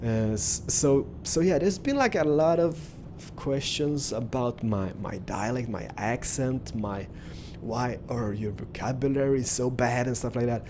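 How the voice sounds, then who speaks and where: A man's voice: mid-range voice, measured pace, noisy background. Rambling young man. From Sweden. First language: Swedish. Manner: rambling, with many "like" and "stuff like that". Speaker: gaming youtuber. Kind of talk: casual gameplay commentary.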